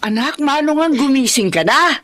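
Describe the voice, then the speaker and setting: A woman's voice: high voice, animated, normal speed, a bit bright. An actress playing a stern, scornful middle-aged mother and grandmother in a Filipino radio drama, scolding emphatically.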